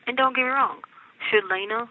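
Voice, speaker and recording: A woman's voice: fairly high pitch, brisk, a little hiss or hum. A middle-aged American woman chatting casually on a phone call, colloquial and insistent, often checking that she is understood. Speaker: inmate on a jail phone call. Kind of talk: casual personal phone conversation. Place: recorded jail phone call. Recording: tinny, narrow telephone line.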